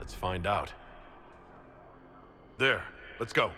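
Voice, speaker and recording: A resolute male hero in a fantasy game with a deep voice. He is direct and sceptical, with faint ambience behind him. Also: slow pace, bright, forceful tone, a little hiss or hum, exaggerated voice. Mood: decisive. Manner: direct, questioning.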